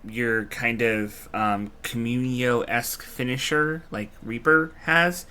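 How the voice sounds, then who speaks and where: A man's voice: mid-range voice, takes it slowly, bright, forceful tone, clean tone. A man giving casual live commentary as a game streamer, reacting aloud and explaining game mechanics. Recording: game music behind the voice. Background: video game music.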